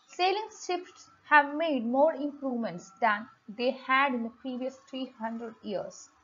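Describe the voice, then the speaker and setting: A woman giving an explanatory lesson as a lecturer, speaking haltingly with frequent pauses and repeated words. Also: high voice, a bit bright. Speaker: lecturer, host of an economics channel. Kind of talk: explanatory lesson read from slides. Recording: dull, lo-fi home recording.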